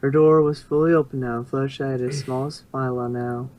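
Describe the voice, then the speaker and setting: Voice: mid-range voice, fairly even intonation, a little fast, a little mellow, slight background noise. A narrator reading a story aloud in an amateur fan fiction reading.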